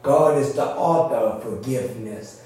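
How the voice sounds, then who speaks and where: A man preaching informally, explaining a story and its lesson in a halting, conversational way. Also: mid-range voice, dark tone. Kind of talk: informal Sunday sermon commentary. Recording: very echoey room, distant microphone.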